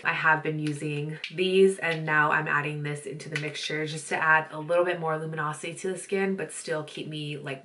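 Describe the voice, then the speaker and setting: Congested young woman with a low voice. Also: a bit bright. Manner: rambling and apologetic. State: congested, stuffy nose. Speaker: beauty vlogger. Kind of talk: casual chatty vlog. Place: home filming setup.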